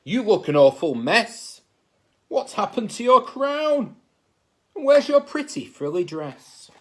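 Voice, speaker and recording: A man acts out a stern old storybook king with a bossy, imperious manner. His delivery is slow and swings widely in pitch. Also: big pitch swings, fairly bright tone, heavily acted. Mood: scolding. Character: stern, bossy old king, Pearl's uncle, thundering and scornful.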